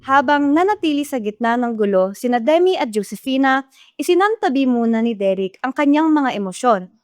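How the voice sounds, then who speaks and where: Female Filipino storyteller for radio drama, scripted narration, high register, no room echo. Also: expressive, a little fast, smooth, studio quality.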